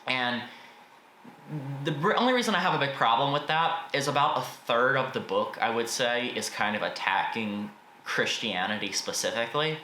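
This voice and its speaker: Bright-voiced American man in a roomy space. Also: medium pitch, expressive, normal speed. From United States. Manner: informal, opinionated, mild swearing. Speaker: book reviewer and video host. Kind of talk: casual spoken book review to camera. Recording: roomy echo, faint background noise.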